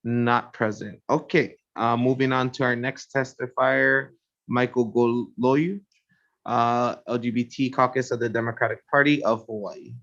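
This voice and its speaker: A man's voice: mid-range voice, fairly even intonation, measured pace, fairly bright tone. A man chairing a legislative hearing, calling testifiers in a procedural, matter-of-fact way. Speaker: committee chair. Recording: dull lo-fi audio.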